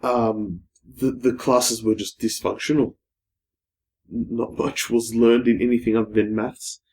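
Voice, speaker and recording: A man's voice: medium pitch, little pitch movement, a little slow, breathy edge, rough recording. A young man, a guest in a casual podcast discussion, speaking thoughtfully and hesitantly, often restarting words. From Australia. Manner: thoughtful, hesitant, with restarts, trailing off to a quiet finish.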